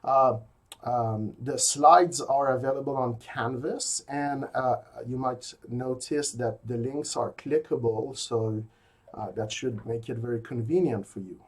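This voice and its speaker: A man's voice: mid-range voice, steady tone, a little slow. A man from rural Quebec with a Québécois French accent, a native French speaker giving a pre-recorded university logic lecture from his office, with many fillers and restarts.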